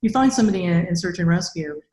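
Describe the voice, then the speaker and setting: A woman's voice: on the low side, animated, quick pace, dark tone. A middle-aged woman, a podcast host, talking conversationally as she interviews a guest. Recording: echoey room, mic at a distance.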